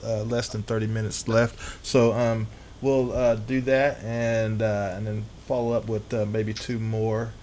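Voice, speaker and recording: A man's voice: fairly low pitch, level tone, a little slow, darkish tone, slight background noise. A man hosting a live radio show, upbeat and loose, rolling through the segment with plenty of fillers.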